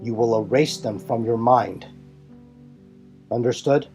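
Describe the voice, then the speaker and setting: A man voicing a solemn, resolute warrior leader in a fan audio drama, speaking in formal, earnest, deliberate phrases. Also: medium pitch, darkish tone, soft background music, natural voice. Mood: stern, firm.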